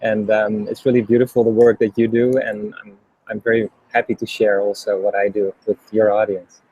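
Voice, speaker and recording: A middle-aged man with a Dutch accent, speaking in a low voice that barely moves in pitch. He talks in a casual, halting way, with frequent ums and restarts as he tells his story to an interviewer. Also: level tone, a little mellow, slightly rough, lo-fi sound. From Netherlands. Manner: hesitant, many ums and restarts. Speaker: guest, HeartMath trainer.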